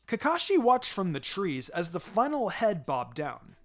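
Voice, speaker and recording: Expressive man narrating a story over music. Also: sits high, unhurried. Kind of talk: expressive fan fiction reading. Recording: music behind the voice. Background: background music.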